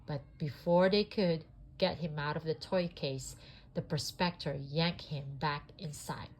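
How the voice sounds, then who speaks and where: A sniffly woman reading a children's storybook aloud in an expressive, homemade style. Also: low-pitched, unhurried, a little hiss or hum.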